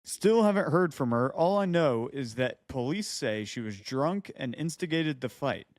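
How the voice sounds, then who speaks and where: A man's voice: medium pitch, expressive, unhurried, no echo, clean studio sound. A man co-hosting a casual comedy podcast, bantering and reading aloud, breaking off into quips and asides. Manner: interrupts his own reading with quips and asides. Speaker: podcast co-host.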